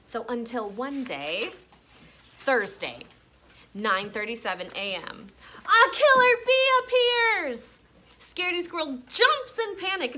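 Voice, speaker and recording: Bright, high-pitched woman teacher reading aloud. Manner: expressive, instructional, checks in with questions. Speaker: elementary school teacher. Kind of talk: classroom read-aloud with teaching commentary.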